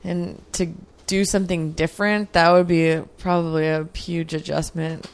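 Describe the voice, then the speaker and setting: Smooth low-voiced woman in her thirties. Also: little pitch movement, normal speed. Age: 30s. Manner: earnest, reflective, many fillers. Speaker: podcast guest, athlete. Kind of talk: casual interview answers.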